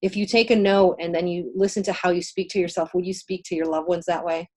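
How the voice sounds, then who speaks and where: A woman whose first language is Spanish, co-hosting a casual spiritual livestream and giving readings in an emphatic, conversational way with pauses for effect. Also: fairly low pitch, fairly even intonation, a little mellow. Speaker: co-host of a spiritual healing livestream.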